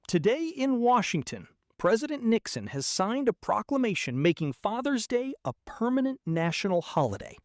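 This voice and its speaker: A man's voice: high voice, no room sound, studio quality. A man playing a small-town 1970s radio newscaster, reading bulletins in a formal announcer's manner.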